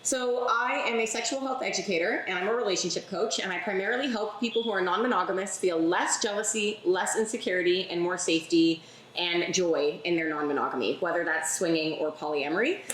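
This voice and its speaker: A woman's voice: fairly low pitch, brisk, a bit bright, a little hiss or hum. A woman who works as a sex educator and relationship coach, chatting casually and playfully as she leads a party game and explains her work. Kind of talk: casual chat. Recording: echoey room.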